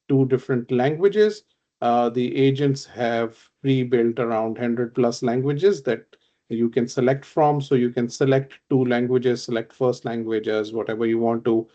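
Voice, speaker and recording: Pakistani-born man demoing software in a webinar. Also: medium pitch, dull audio. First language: Urdu. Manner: explanatory, measured, with frequent fillers and restarts. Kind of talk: webinar product demo.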